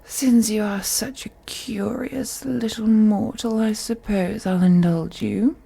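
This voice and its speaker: A woman acts out an aristocratic elder vampire lady for a roleplay audio drama. Her soft voice is breathy and mellow, often slipping toward a whisper. She takes her time with every phrase, haughty and seductive, toying with her prey. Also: medium pitch, steady tone, heavily acted. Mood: amused, curious. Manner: slow, teasing, condescending, drawn-out phrasing with breathy whispers.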